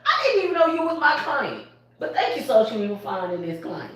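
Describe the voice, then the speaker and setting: A woman talks up her barbershop with lively, emphatic energy and frequent laughs. She sits a way back from the mic, and the room around her rings with heavy echo. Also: a little high, fast talker. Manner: animated, emphatic, laughs often. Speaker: barbershop owner. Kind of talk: live panel talk. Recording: echoey room from far off.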